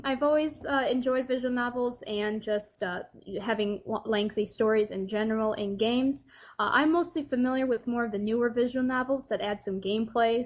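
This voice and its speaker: A female podcast guest chats casually and thoughtfully, with some hesitation. Her voice comes through dull, lo-fi online call audio. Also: a little high. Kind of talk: casual chat.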